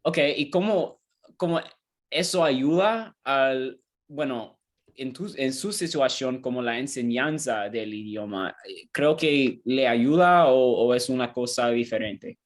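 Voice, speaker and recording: A student interviewer, a man, asks his questions slowly and haltingly, restarting phrases as he searches for words in Spanish. His pitch is ordinary for a man, and his pace is unhurried. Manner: hesitant, many fillers and restarts, second-language Spanish. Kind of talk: class assignment interview.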